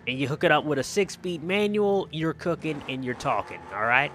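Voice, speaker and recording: A man's voice: on the high side, animated, brisk, a bit bright, a little hiss or hum. A man hosting a gaming channel with casual, enthusiastic commentary on cars, often repeating phrases for emphasis. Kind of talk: casual video game roleplay commentary on cars.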